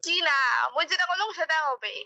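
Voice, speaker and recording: A woman's voice: very high-pitched, fairly quick, over a noisy crowd. A young woman with a North Bengal accent bantering bluntly on a casual phone call with a friend. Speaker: friend receiving a prank call.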